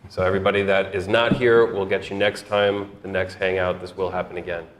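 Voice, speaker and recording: A man co-hosting a live stream from a co-working space, speaking in a calm, matter-of-fact way. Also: deep voice, a little fast. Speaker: co-host.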